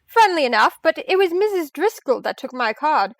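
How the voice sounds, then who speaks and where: A brisk woman professor and treasure hunter in a vintage-style radio mystery. Her voice is high and confident, quick with sarcasm, on a dry, close recording. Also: sing-song, no room sound. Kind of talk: old-time radio detective drama acting.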